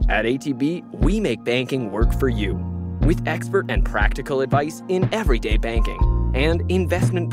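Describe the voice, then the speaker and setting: A man reading scripted podcast sponsor messages and credits as announcer, over music. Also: on the high side.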